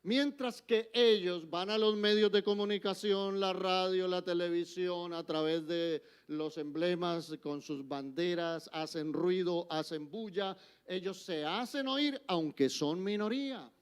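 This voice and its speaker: An older man with a Colombian accent preaching a sermon in church, emphatic, swinging between forceful exhortation and soft, intimate asides. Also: sits high, a little slow, clear, resonant tone. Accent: Colombian Spanish. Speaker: guest preacher.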